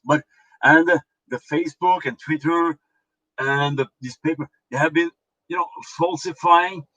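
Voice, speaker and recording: A man from Punjab with a Punjabi Indian accent, hosting a political commentary show and speaking haltingly with many fillers and restarts. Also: fairly high pitch, sharp tone, muffled recording. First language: Norwegian. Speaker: host.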